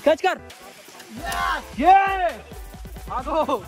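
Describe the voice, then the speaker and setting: A man in his twenties from India giving excitable, casual vlog commentary outdoors to viewers and friends, recorded on a phone at arm's length. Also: very high voice, very slow, music in the background. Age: 20s. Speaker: vlogger. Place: outdoors on a rocky hillside and waterfall.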